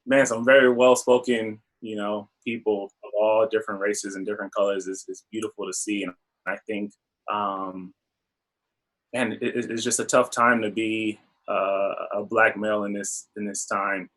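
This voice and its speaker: A man's voice: fairly low pitch, level tone, normal speed, fairly bright tone, rough recording. A man in a podcast discussion, speaking earnestly with many restarts and fillers.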